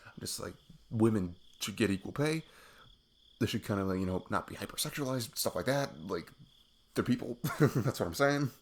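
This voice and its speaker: A man playing a sweet, nervous best friend in an intimate ASMR roleplay, halting and self-deprecating as he opens his heart. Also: lowish voice, animated, slight background noise. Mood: earnest, rambling.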